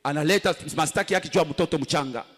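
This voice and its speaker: Fast high-voiced male sermon interpreter. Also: husky. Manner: emphatic, echoing the preacher's delivery. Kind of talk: live consecutive interpreting of a sermon. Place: large echoing hall. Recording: reverberant hall sound.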